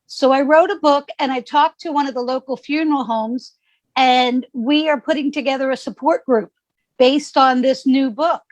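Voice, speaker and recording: A woman, a grief counselor speaking calmly and measuredly as an interview guest. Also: high-pitched, moderate pace, dry recording.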